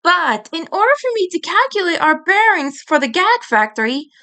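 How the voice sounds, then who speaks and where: Woman as a prim, high-voiced bookish cartoon boy. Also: big pitch swings, moderate pace, pushed and bright, exaggerated voice. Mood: earnest, indignant. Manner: wordy, precise, fussy.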